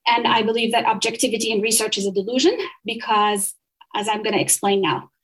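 A woman's voice: fairly high pitch, steady tone, a little fast, a bit bright, rough recording. Earnest woman. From Saudi Arabia. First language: Arabic. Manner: earnest, with restarts and fillers. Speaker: researcher. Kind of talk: poetry reading then academic talk.

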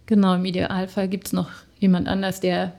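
A woman's voice: on the low side, completely flat delivery, normal speed, vocal fry, a little mellow. A middle-aged woman from Germany, a guest telling her story in casual conversation, hesitant with many fillers.

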